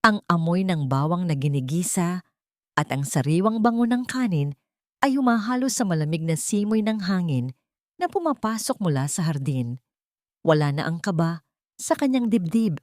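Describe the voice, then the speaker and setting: Woman, Filipino accent, scripted story narration, romantic drama, lower pitch, dry recording. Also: expressive, normal speed, no echo.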